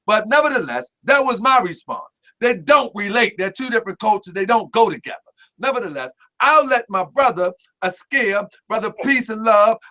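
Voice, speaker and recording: A man's voice: high voice, pushed and bright. A man hosting a live stream talk show, speaking in a hyper, emphatic, preachy way. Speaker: host.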